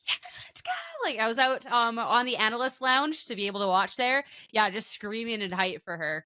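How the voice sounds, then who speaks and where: Bright-voiced chatty woman podcast host. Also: on the high side, no room sound, clean studio sound. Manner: chatty, trailing off and restarting. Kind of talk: casual chat.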